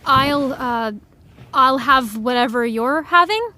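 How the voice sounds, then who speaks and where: Casting for audio drama: polite, nervous fangirl, high-pitched, slow and hesitant, natural dialogue. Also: pushed and bright, exaggerated voice. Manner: hesitant, halting, politely deferential. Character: nervous, polite female fan with private indignation.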